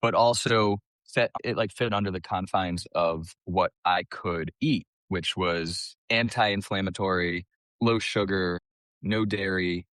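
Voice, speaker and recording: A man from the US East Coast tells personal stories as a podcast guest. His lowish voice is chatty and colloquial, peppered with "like", and recorded close and dry. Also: steady tone, measured pace, fairly bright tone, no echo. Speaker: podcast guest, small business owner. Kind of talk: casual personal storytelling.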